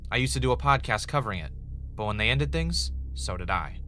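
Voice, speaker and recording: Man acting as wry podcast host, uneasy, conversational, halting, medium pitch, audible background noise. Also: big pitch swings, sharp tone. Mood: uneasy, searching. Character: Ray, wry, uneasy male podcaster investigating his own death. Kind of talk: audio drama podcast, conversational narration.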